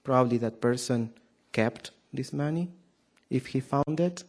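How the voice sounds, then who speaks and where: A man with a thick Argentine Spanish accent delivers a Sabbath sermon at an unhurried pace. He halts often, restarts his sentences and fills gaps with "um". He keeps turning to the congregation with questions. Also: medium pitch, darkish tone, slightly rough. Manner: hesitant, many fillers and restarts, asks the audience questions. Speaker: preacher.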